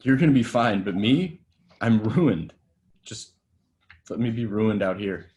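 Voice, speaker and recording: A man's voice: fairly low pitch, animated, measured pace, darkish tone. A man reading a desperate young male actor in a table read, stammering and pleading.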